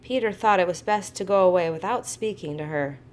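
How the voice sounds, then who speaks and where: A woman's voice: fairly low pitch, a little fast. A woman reading a storybook aloud to young children, expressively, with chatty little asides. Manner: expressive, acts out exclamations, adds chatty asides to young listeners. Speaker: kindergarten teacher reading to class.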